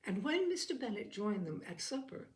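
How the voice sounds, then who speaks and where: A woman reading a classic novel aloud in an expressive storytelling manner. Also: medium pitch, moderate pace. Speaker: audiobook reader.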